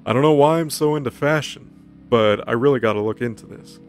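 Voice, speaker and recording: A man's voice: medium pitch, a little fast, soft background music, lightly acted. A man voicing a stubborn, defensive cursed troublemaker, blunt and slangy, in a comic story recap. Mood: headstrong.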